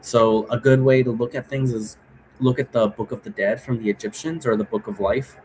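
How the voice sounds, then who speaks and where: A man with an average-pitched voice talks informally, like a host walking viewers through a tutorial. He rambles casually, repeats himself and checks in as he goes. A light layer of noise sits behind his voice. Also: steady tone, moderate pace, a little mellow, poor audio. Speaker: host giving an occult divination tutorial.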